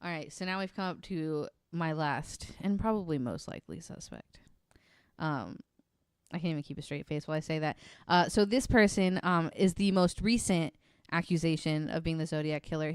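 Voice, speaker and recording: A woman's voice: sits low, normal speed, smooth, no room sound, clean studio sound. A woman hosting a true crime podcast in casual, giggly, informal talk. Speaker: podcast host.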